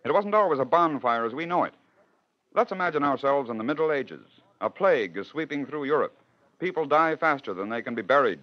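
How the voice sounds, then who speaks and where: A man's voice: mid-range voice, lively intonation, a little fast. A man hosting and narrating in scripted 1940s radio style, with a rhetorical storytelling manner. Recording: dull old radio broadcast.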